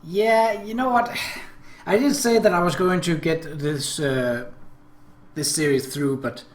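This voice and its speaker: Higher-voiced man hosting game playthrough, echoey room. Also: measured pace. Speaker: gaming video host. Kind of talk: visual novel playthrough with narration and commentary. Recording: roomy echo, clear background noise.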